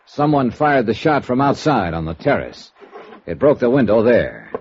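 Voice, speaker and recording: An actor playing a wry, brisk American insurance investigator in a 1950s radio drama, with a clipped, matter-of-fact delivery. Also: male voice, mid-range voice, big pitch swings, darkish tone, slight background noise, lightly acted. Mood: dry, patient. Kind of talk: 1950s radio detective acting and narration. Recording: muffled vintage 1950s radio recording.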